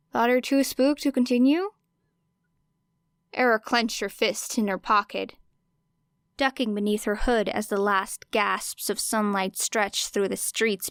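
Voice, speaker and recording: A woman reading fan fiction as an audiobook narrator, with an expressive, wry and dramatic delivery. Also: mid-range voice, creaky, clear, resonant tone, no room sound, studio quality.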